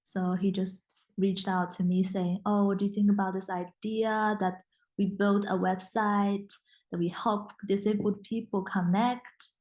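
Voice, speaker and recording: A woman's voice: medium pitch, flat delivery, a little slow, a little mellow, poor audio. A young woman whose first language is Mandarin, a guest in a casual interview, speaking English with frequent restarts and fillers. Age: young adult. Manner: hesitant, many restarts and fillers.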